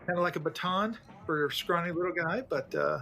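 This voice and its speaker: A man talks casually through a tabletop roleplaying game as a player. His voice sits a little higher than most men's. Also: a little fast, vocal fry, pushed and bright.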